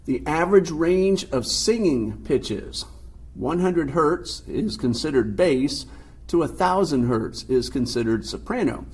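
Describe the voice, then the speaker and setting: Husky deep-voiced male science teacher. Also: expressive, a little slow, a little mellow. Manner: patient, explains definitions step by step. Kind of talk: recorded classroom lecture.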